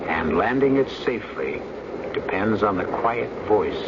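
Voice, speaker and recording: A man narrating a radio drama as host, gravely and suspensefully, with deliberate dramatic pauses. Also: lowish voice, lively intonation, heavy background noise. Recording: muffled radio recording, very loud background drone.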